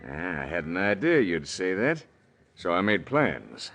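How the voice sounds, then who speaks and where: An actor playing a smooth, menacing gangster in a calm, threatening 1940s radio style. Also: male voice, low voice, unhurried, slight background noise, heavily acted.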